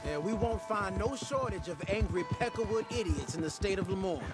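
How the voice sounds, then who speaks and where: A young outlaw gunslinger. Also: male voice, sits high, animated, brisk. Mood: wry. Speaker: game character. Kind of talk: cutscene voice acting. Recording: game audio with loud music.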